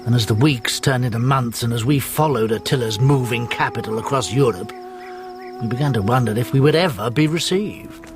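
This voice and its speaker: A male actor voices a Roman historian looking back as narrator. His mid-pitched delivery is reflective and scripted, laid over clearly heard music in a radio drama. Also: fairly quick, close to an everyday voice. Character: Roman historian narrator recalling a long embassy.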